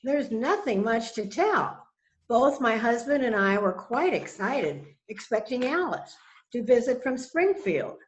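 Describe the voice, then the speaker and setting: A woman's voice: on the high side, big pitch swings, measured pace. A woman reading the part of an anxious, earnest wife protesting her innocence in an amateur readers theater play. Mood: composed. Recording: roomy echo, dull muffled sound.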